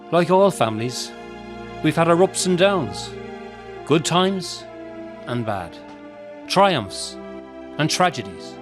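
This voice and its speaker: An actor playing a weary, patient but strained Irish circus manager in a radio drama. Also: male voice, mid-range voice. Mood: sombre narrating. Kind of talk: radio drama acting with narration.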